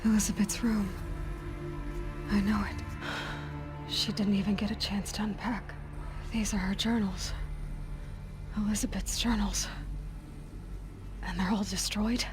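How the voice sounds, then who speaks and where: A woman's voice: medium pitch, flat delivery, measured pace, long pauses, natural voice. Woman playing an earnest young adventurer over music. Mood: hushed, moved. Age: young adult. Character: young female adventurer, earnest, determined and questioning. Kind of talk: naturalistic video game dialogue.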